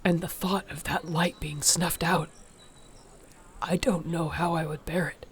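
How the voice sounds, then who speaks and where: Woman voicing an orc warrior girlfriend, audio roleplay, soft and breathy, gravelly creak, even pitch, protective, earnest, devoted. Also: unhurried. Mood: tender, emotional. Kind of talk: intimate scripted audio roleplay.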